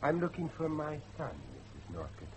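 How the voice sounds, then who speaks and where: A middle-aged man acting a determined father and judge in old-fashioned radio drama style, with a dramatic, deliberate delivery. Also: a little high, huge pitch swings, a little hiss or hum. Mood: firm, probing. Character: grave, determined father, a judge seeking his missing son.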